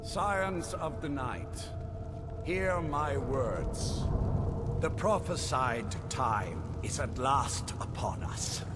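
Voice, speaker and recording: An actor playing an ancient, imperious vampire lord in a fantasy video game, speaking in grand, solemn proclamations. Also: male voice, medium pitch, lively intonation, very slow. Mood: grandiose, rousing. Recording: music under the voice.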